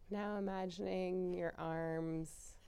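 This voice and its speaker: A woman leading a guided meditation, giving soothing, unhurried instructions with pauses between phrases. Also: fairly low pitch, a little mellow, clean tone, no echo.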